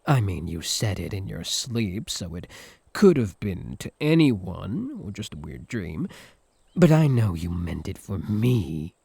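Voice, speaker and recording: British man as teasing yandere incubus: unhurried, sly, sarcastic, swooping delivery, close dry sound. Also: low-pitched, sing-song, gravelly, a little mellow, husky, no room sound, exaggerated voice. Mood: coaxing, possessive.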